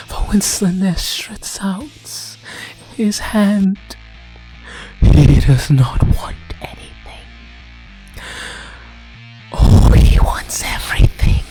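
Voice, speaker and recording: A theatrical narrator delivers grim fantasy lore at a very slow, deliberate pace. The delivery is grandiose and ominous, often dropping toward a hushed whisper. Music plays underneath the voice. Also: mid-range voice, poor audio. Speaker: narrator of a fantasy audio drama. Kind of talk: dramatic scripted lore narration.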